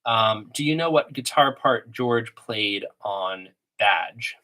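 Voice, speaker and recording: A man hosting a casual livestream Q&A about guitar, talking with a slight cold and a sniffly sound. He rambles in a relaxed way, with many ums and restarts, and plays guitar between answers. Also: medium pitch, slow. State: has a cold, a little sniffly. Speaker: livestream host answering chat questions. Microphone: iMac desktop microphone. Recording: home desktop computer recording. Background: guitar playing between answers.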